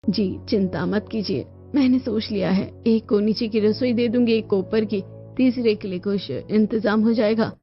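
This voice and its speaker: A woman voicing a calm, practical mother-in-law in a cartoon family story. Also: medium pitch, normal speed, lightly acted. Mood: reassuring. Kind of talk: Hindi cartoon story voice acting. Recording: dull lo-fi audio over music.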